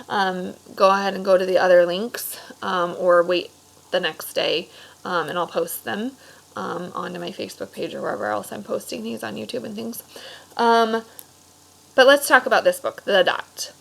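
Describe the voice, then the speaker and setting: A woman's voice: mid-range voice, normal speed, creaky, a bit bright, low-quality recording. A woman teacher reading aloud and explaining to children, instructive and checking in with questions.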